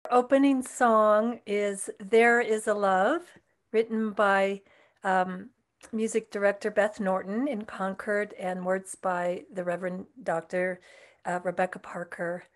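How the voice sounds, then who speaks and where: A woman's voice: mid-range voice, fairly even intonation, measured pace. A woman in her fifties, a song leader giving an informal, conversational announcement. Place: room at home.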